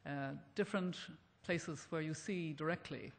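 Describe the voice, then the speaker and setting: A man speaks gravely on a conference panel, often hesitating mid-phrase. His voice is fairly high for a man and echoes through a large hall. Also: unhurried. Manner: grave, hesitant, many fillers.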